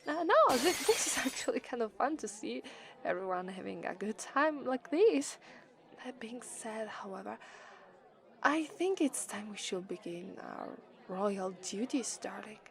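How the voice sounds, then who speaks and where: A cheerful young demon princess bride, voiced by a woman with an Italian accent and a high, sweet voice. She speaks slowly and fondly, breaking into giggles and flustered stammers. In an ASMR roleplay she sounds loving toward her partner. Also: very animated, creaky, exaggerated voice. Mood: gracious. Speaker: voice actress.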